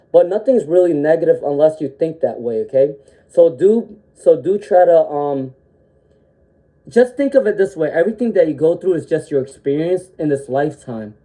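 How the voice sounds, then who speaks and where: Tarot reader on a livestream, voice really dark and mellow, bit stuffy from a cold, keeps saying okay?. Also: mid-range voice, expressive, moderate pace, rough recording. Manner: ends sentences with a checking "okay?"; frequent "um" fillers, gentle reassuring delivery. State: has a cold, sniffling. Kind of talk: live-stream tarot reading.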